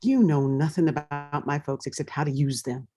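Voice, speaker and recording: A woman's voice: sits low, normal speed, dark tone, soft background music, natural voice. An actress playing a guarded, indignant mother in her forties, curt and suspicious, in a dramatic stage scene. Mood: angry, dismissive. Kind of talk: dramatic two-person scene reading.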